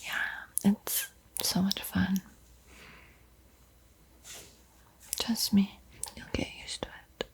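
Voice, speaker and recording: Slow whispering female vampire art teacher, played by a woman. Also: medium pitch, little pitch movement. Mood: playfully reassuring. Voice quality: breathy, mostly unvoiced whisper. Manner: soothing, unhurried, lingering repetition of words. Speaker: ASMR painting instructor. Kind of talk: whispered ASMR art tutorial roleplay.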